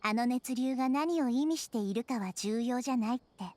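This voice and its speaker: Woman acting as a high-voiced, pensive young game heroine. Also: rapid-fire, a little mellow, dry recording, close to an everyday voice. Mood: reflective, wistful. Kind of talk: Japanese video game voice acting.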